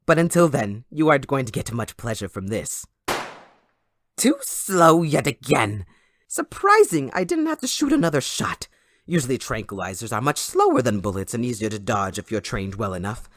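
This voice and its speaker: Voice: medium pitch, very animated, normal speed, fairly bright tone. A performer voicing a gleeful, sadistic supervillain kidnapper in an audio roleplay, theatrical, mocking and possessive toward a captive listener. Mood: gleeful menace. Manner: theatrical, mocking, giggling, swooping between croon and shriek.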